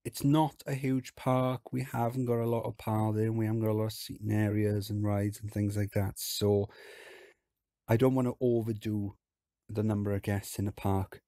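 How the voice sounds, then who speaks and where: Hoarse, gravelly man narrating gameplay. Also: lowish voice, normal speed, no echo. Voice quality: husky, croaky. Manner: relaxed, chatty, explains as he plays. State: hoarse from illness. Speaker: game video host. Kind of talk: casual game commentary.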